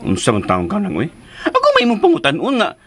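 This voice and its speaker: Excitable protective dad, very animated high voice, scolding and coaxing, radio drama. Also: male voice, a little fast, exaggerated voice. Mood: teasing, exasperated. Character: excitable, protective, teasing father of a simple daughter.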